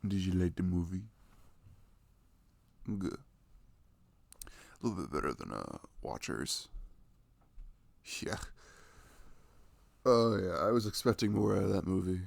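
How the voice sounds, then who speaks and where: Man playing a low, creaky, cuddly young boyfriend. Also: lively intonation, unhurried, pauses often, heavily acted. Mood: tender, affectionate. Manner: soft, rambling, frequent chuckles and hums. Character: sweet, playful, affectionate boyfriend cuddling his partner. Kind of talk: intimate ASMR boyfriend roleplay, casual and unhurried. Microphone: close microphone.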